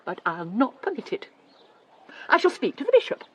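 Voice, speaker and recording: A poor English clergyman's wife, fierce and full of feeling. Her high voice swoops dramatically in the manner of a period radio play. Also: wildly swooping pitch, normal speed, husky, full character voice. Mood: bitter, determined. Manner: forceful, emotional, scornful.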